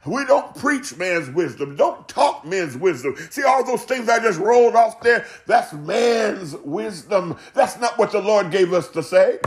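Male preacher, very high-pitched and lively in range, fervent exclamatory sermon with emphatic repetition. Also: measured pace, few pauses. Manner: exclamatory, repeats phrases emphatically for effect. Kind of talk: fervent Pentecostal-style sermon.

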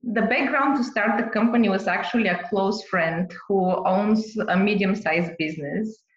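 A woman's voice: medium pitch, normal speed, reverberant, highs cut off. A woman from Bulgaria, a business owner, answering podcast interview questions carefully, with light laughs.

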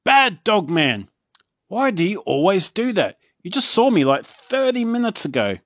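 An Aussie dad putting on a frazzled cartoon police chief with a voice pushed way up high and shrill. He barks commands and scolds in a dry, close sound. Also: very animated, a little fast, no echo. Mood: scolding. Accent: Australian. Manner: yelling, exasperated scolding. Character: angry shouting male police chief.

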